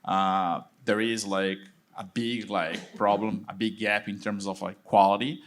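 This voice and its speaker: A man's voice: fairly low pitch, fairly even intonation, unhurried, fairly bright tone. A Brazilian-accented man, a venture partner, introducing himself on a panel with many fillers and hesitations. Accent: Brazilian Portuguese.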